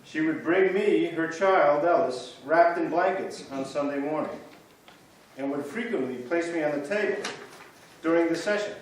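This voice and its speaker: A man performing reader's theater, reading scripted church history stories in a measured storytelling way, in a church. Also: fairly high pitch, a little hiss or hum. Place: church sanctuary. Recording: echoey church from far off.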